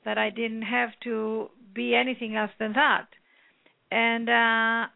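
A woman with a Greek accent speaks earnestly about her book, pausing often on "uh" as she searches for words. Her voice sits fairly high with a gravelly fry, and it comes through a tinny, dry phone line. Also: little pitch movement, dry recording. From Greece. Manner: rambling, earnest, with many "uh" pauses. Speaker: author guest. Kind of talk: phone interview.

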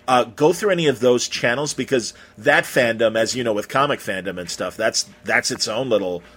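A man's voice: mid-range voice, normal speed, a bit bright, husky. A man interviewing casually, with an enthusiastic, affirming and rambling way of talking.

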